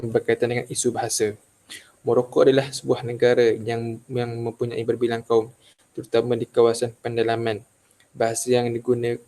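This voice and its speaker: Flat-voiced young man presenting to class. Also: mid-range voice. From Malaysia, Kelantan. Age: young adult. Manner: hesitant, many fillers and restarts. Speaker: student presenter.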